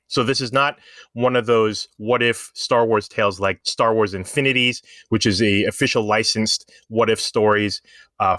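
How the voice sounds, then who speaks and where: A man's voice: lowish voice, fairly bright tone, no echo, clean studio sound. A man co-hosting a podcast, talking casually and giving opinionated explanations. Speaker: podcast co-host.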